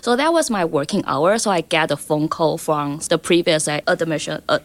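A woman's voice: low-pitched, moderate pace. Woman from Taiwan, second-language English. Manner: eager, slightly non-native phrasing. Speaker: MBA student guest. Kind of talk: casual panel talk.

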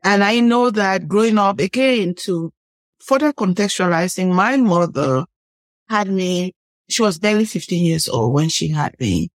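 A woman's voice: fairly low pitch, animated, measured pace, no room sound. Middle-aged Cameroonian woman, interview guest, earnest and reflective, recorded dry. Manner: earnest, reflective, often rephrases mid-sentence. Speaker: guest, biblical studies professor. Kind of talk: personal storytelling in an interview. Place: podcast studio table.

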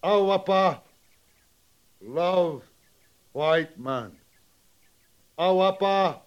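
A very slow, solemn grieving chief. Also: male voice, a little high, smooth. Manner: solemn, stately, halting simplified English. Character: grieving, dignified Sioux chief, solemn father. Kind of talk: 1950s western radio acting. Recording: muffled old radio drama recording.

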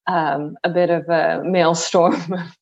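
A woman's voice: lowish voice, little pitch movement, slow pace, breathy edge, dull audio. A woman in her forties from Southern California answers interview questions in a wry, self-deprecating way. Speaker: guest.

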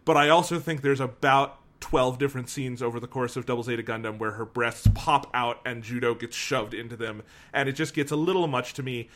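A man co-hosting a casual review discussion, talking in an animated way and laughing as he tells a story. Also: mid-range voice, brisk, hardly any pauses. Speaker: podcast co-host.

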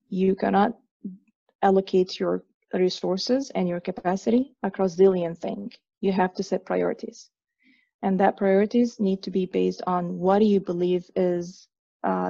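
A woman's voice: fairly low pitch, gravelly, mellow, dry recording, highs cut off. A woman from Egypt in her thirties, a guest speaking hesitantly with many fillers and restarts. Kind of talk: conversational interview.

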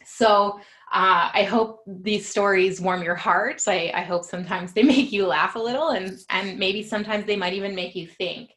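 A young woman hosting a casual podcast interview, talking warmly with frequent laughs and fillers. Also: medium pitch, moderate pace, hardly any pauses. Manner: warm, laughs often, many fillers. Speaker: podcast host.

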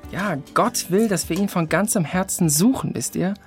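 A man playing a gentle, thoughtful, friendly character in a children's audio drama, explaining things a little hesitantly. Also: sits high, moderate pace, soft background music, natural voice. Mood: warm, reflective.

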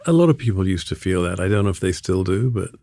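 An older man from England talks through his memories in a slowish, halting manner, pausing and laughing softly as he searches for words. His voice sits a little low, and the recording is close and dry with no room sound. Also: lively intonation, gravelly, no room sound. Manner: thoughtful, halting, laughs softly between thoughts. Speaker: artist being interviewed. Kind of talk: reflective conversational interview.

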